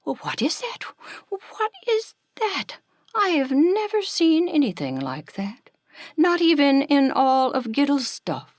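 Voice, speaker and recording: A woman's voice: high voice, sing-song, slow, dry recording, clean studio sound, voice pushed up, full character voice. A woman voicing a vain, hungry female flying creature from an animal storybook, exclaiming theatrically to herself. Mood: greedy wonder. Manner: theatrical, exclaiming, self-absorbed.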